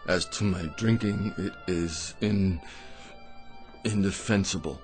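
An actor playing a gentle, shabby, drunken department store Santa in a radio drama, slurring with mock courtesy. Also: male voice, low-pitched, slow pace, soft background music. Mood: rueful, indignant.

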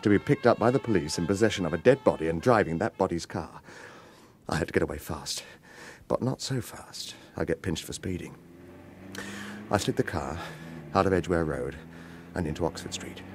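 A man's voice: sits low, expressive, moderate pace, background noise. An actor playing a guarded, world-weary retired hitman in a radio crime drama, terse and wry. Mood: tense, brooding. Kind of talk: radio crime drama dialogue and first-person noir narration.

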